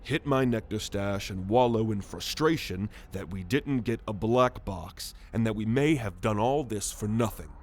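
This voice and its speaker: A man's voice: fairly low pitch, normal speed, few pauses, natural voice. A man playing a grumpy, cynical, world-weary alien spaceship crewman who grumbles sardonically in an ASMR roleplay audio drama. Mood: irritated, sardonic.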